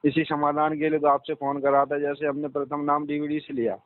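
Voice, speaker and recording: A thin phone line carries a slightly high-pitched man's voice, dry and without room sound. He is a caller asking for advice, casual, hesitant and deferential. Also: little pitch movement, fairly quick, no room sound. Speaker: caller seeking religious advice. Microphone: telephone. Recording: dry, tinny telephone line.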